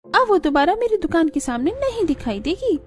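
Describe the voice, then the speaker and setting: A woman's voice: very high-pitched, big pitch swings, a little fast. A woman voicing a jealous, haughty rich restaurant owner in a moral cartoon, with a scolding, sneering manner. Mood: smug mocking. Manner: scolding, sneering, sharp-tongued. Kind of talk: Hindi moral cartoon voice acting.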